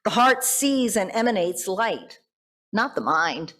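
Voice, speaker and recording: A middle-aged or older woman, a pastor, preaching reflectively in measured phrases with deliberate pauses. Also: medium pitch, very animated, fairly bright tone. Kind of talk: sermon with poetry quotations.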